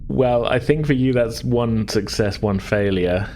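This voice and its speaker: A man's voice: medium pitch, unhurried, a little mellow, a little hiss or hum. A man speaking as game master, improvising tabletop narration and chatting casually in a hesitant way.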